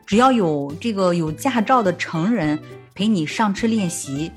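A woman's voice: medium pitch, expressive, moderate pace, faint music behind. A young woman from China hosting a language podcast, switching between Chinese and English with a Chinese accent, friendly and full of mm-hmms. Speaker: host. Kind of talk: bilingual language-learning podcast.

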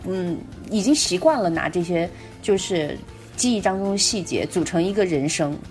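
A woman's voice: fairly low pitch, expressive, normal speed, darkish tone, faint music behind. A woman co-hosting a podcast in casual discussion, repeating words as she works out her thoughts. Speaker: podcast co-host.

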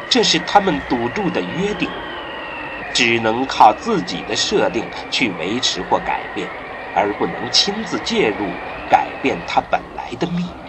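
A man narrating a science-fiction radio drama in a solemn storytelling manner, with dramatic pauses. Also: on the low side, noisy background.

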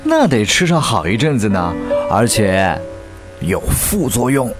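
An actor playing a wistful, tender young boyfriend in a radio drama, narrating and talking in a soft, reflective way. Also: male voice, on the low side, very animated, a little slow. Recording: music behind the voice.

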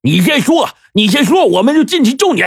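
A man's voice: on the high side, very animated, fairly quick, breathy edge, dry recording, studio quality, pitched above the performer's own voice, exaggerated voice. A man voicing a hot-tempered, scheming male crook who barks orders in a spoken story. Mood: urgent. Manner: shouting, bossy.

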